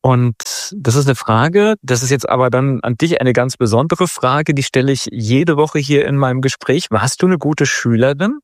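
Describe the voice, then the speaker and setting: A man's voice: mid-range voice, normal speed, few pauses, no room sound. Man hosting an interview, dry and close. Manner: engaged interviewer, checks in with short tag questions. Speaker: host. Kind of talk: interview conversation.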